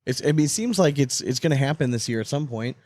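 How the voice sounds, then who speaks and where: A man's voice: medium pitch, lively intonation, fast, a little mellow, dry recording. A man chatting casually as the host of a sports podcast.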